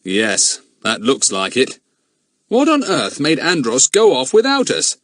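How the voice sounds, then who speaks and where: Man doing a practical grown-up, firm and brisk, lively rises and falls. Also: mid-range voice, no room sound, studio quality, voice pushed up, heavily acted. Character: grown man, calm, practical leader of children.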